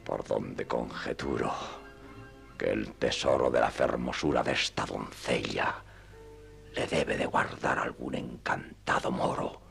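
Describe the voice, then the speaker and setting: A battered old knight-errant for radio theatre, solemn and deluded. The voice is extremely low and full of creak, unfolding slowly in a pompous, confiding murmur. Music plays behind it. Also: male voice, fairly bright tone, exaggerated voice. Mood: aggrieved, grave. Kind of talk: radio drama acting in archaic literary Spanish.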